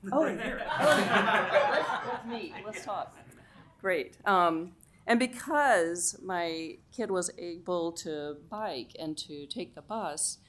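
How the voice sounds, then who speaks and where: A woman's voice: lowish voice, animated, slow, a bit bright. A middle-aged woman giving an enthusiastic public comment at a city council meeting, chatty with lots of "um"s. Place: council chamber.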